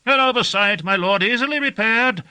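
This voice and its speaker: High-pitched servile gunner. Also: male voice, normal speed, bright, forceful tone, clear, resonant tone, heavily acted. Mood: defensive, pleading. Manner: protesting, excuse-making. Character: servile, defensive male gunner devoted to his machine.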